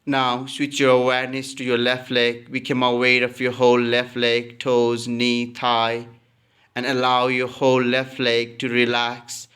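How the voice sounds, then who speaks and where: A man leading a guided relaxation as a yoga teacher, calmly giving instructions and repeating phrases. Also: medium pitch, a little slow, smooth.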